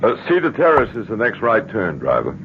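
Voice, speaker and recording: An actor in a radio drama playing a worried middle-aged father, earnest and halting as he asks questions. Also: on the low side, wildly swooping pitch. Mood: troubled. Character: worried, probing father of a grown daughter. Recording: tinny, noisy old radio recording.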